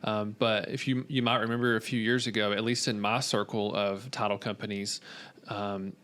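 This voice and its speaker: Male, low voice, casual podcast talk, halting delivery with pauses. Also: level tone, a bit bright.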